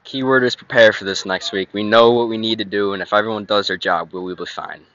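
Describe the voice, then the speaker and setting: A young man, a high school lacrosse defender, speaking briskly and with determination in a post-game interview at night. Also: steady tone, a bit bright, highs cut off. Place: outdoor sports field at night. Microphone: handheld mic.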